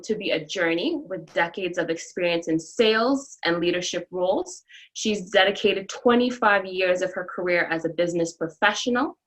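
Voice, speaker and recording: A woman's voice: medium pitch, fairly bright tone, poor audio. A woman hosting a podcast, upbeat, reading a guest introduction. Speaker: podcast host. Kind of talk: interview with a scripted guest introduction.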